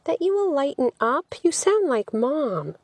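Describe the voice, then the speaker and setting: Woman acting higher-pitched, exasperated young girlfriend. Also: unhurried, dry recording. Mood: reassuring. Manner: animated, indignant when challenged.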